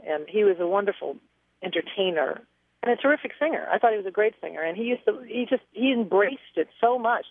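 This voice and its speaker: A woman's voice: medium pitch, no echo. Woman chatting over a phone-line call, thin tinny sound, reflective and chatty. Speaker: interview guest, singer. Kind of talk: phone-in radio interview.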